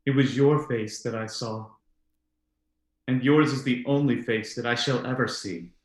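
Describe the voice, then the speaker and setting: A man's voice: medium pitch, a little slow, natural voice. A man playing a tender, lovesick man in an old-time radio drama, earnest and romantic, recorded in an echoey room. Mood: tender, devoted. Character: tender, lovesick man devoted to a forbidden love.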